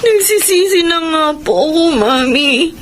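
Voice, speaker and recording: An actress playing a remorseful, pleading young wife in a Filipino radio drama, with a Filipino accent and an emotional delivery. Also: female voice, extremely high pitch, lively intonation, unhurried, slight background noise. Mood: tearful, remorseful.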